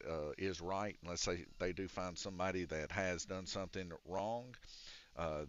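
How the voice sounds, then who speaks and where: A low-voiced man with a raspy, chest-congested tone hosts a live-streamed RC race night indoors. His voice is deep yet forceful and bright-edged, and he rambles through the rules with frequent fillers. The sound is dull and lo-fi, like a hobby live stream. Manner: rambling and explanatory, with many "uh" fillers. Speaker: race announcer and organizer. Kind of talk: casual live-stream commentary. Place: indoor RC raceway shop. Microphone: wired or wireless handheld mic.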